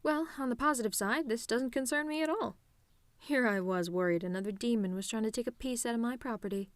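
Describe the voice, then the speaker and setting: A woman's voice: a little high, fairly quick, dry recording, lightly acted. A woman playing a cocky, sarcastic tomboy demon with a casual, teasing delivery in an audio roleplay. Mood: exasperated. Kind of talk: ASMR-style scripted roleplay monologue.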